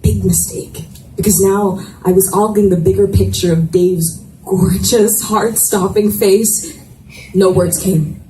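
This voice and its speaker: A woman reading romance fiction aloud as expressive first-person narration, with dramatic pauses, at a live event. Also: mid-range voice. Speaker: reader of a romance novel. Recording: echoey room, distant mic, noisy ambience.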